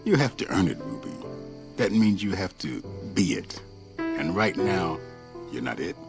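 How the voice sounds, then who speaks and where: An actor in a radio play playing a serene, cryptic, ageless monk mentor with a measured, amused, philosophical manner. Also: male voice, low voice, big pitch swings, gravelly, music in the background, exaggerated voice.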